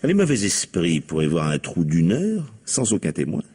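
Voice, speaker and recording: A husky, fairly low-voiced police commissioner in a French radio mystery play. He is sly and insistent, questioning with irony in a very animated, rising and falling delivery. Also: moderate pace, full character voice. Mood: probing, polite. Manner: probing, ironic, pointedly repeating answers. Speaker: detective.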